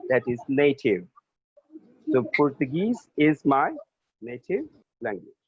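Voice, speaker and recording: Slow, spelling-out male teacher, tinny sound. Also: medium pitch, very animated, breathy edge, dry recording. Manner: repeats and spells out each answer. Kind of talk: slow remote class lesson, spelling words aloud.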